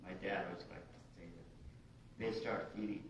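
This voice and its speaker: A man from the United States, a writer reading his own short story aloud in a halting, informal way. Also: sits low, level tone. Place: large echoey indoor room at a live reading. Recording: very echoey room, distant dull mic, hiss.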